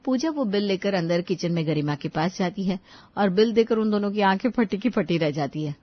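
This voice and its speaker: A woman's voice: medium pitch, expressive, fairly quick, dry recording. A woman narrates a scripted family moral story. She works as the storyteller between dialogue lines. The recording is very dry and a touch dull.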